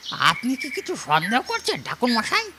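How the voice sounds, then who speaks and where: Man playing a humble servant, swooping pitch. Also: wildly swooping pitch, normal speed, noisy background, exaggerated voice. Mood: uneasy. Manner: humble, respectful questioning. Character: deferential, uneasy old male household servant. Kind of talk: horror audio drama acting.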